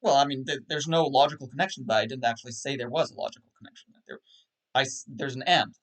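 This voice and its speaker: A man in casual chat on a livestream, self-deprecating and halting, with frequent restarts. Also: mid-range voice, fairly bright tone, slight background noise. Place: home setup on a livestream call.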